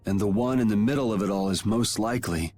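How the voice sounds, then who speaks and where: An actor playing a cool, guarded young adventurer in a video game, talking in a dry, laconic, casual way. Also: male voice, on the low side, fast, darkish tone, soft background music, natural voice. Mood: terse, resolute. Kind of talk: voice acting in an English video game cutscene.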